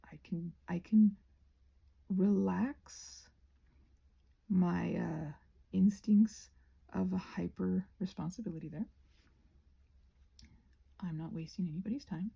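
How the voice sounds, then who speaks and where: A soft-spoken woman with a breathy voice that often nears a whisper, rambling casually and self-deprecatingly to herself as she crafts. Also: on the low side, takes it slowly, long pauses. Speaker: craft and ASMR video creator.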